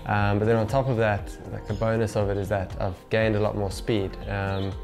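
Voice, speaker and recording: Low, level-voiced young man over music. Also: flat delivery, moderate pace, a little mellow. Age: 20s. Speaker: professional golfer being interviewed. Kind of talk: casual interview talk to camera. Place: small home gym room, indoors. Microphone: lapel. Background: background music.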